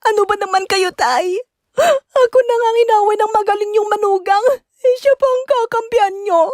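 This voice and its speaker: An actress playing a jealous, nagging young wife in an audio drama, scolding and accusing with rapid questions. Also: female voice, extremely high pitch, fairly even intonation, dry recording, studio quality. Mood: tearful, aggrieved. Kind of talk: Tagalog radio-style drama acting.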